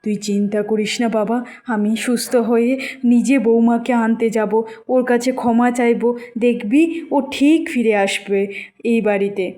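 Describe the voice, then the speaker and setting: A woman's voice: on the high side, steady tone, normal speed, mellow, lightly acted. A woman voicing a worried, fond older mother in a cartoon, anxious and plaintive. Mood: remorseful.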